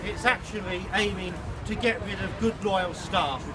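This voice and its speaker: A man's voice: high voice, measured pace, a crowd in the background. A man, a union colleague, speaking at an outdoor protest in a blunt, indignant way with small restarts. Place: outdoors at a college protest, street traffic nearby. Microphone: distant camera. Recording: distant voice with street noise. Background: traffic and street ambience.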